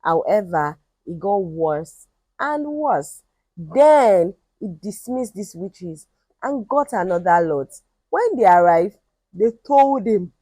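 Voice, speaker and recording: A woman telling a folktale in an expressive, emphatic and dramatic storytelling style. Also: mid-range voice, slow pace, no room sound.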